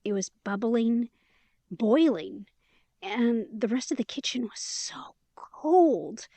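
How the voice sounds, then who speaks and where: A woman's voice: fairly high pitch, lively intonation, slow pace, warm tone, no room sound, exaggerated voice. An actress playing a chatty, eager, sweetly stubborn young woman in a romantic fantasy audio drama, speaking in a conversational, emphatic way. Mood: dreamy, hesitant.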